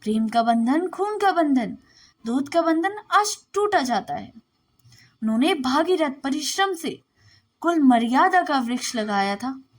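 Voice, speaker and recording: High-pitched, slow-paced woman storyteller. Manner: expressive, deliberate, scripted reading. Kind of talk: dramatic literary story narration.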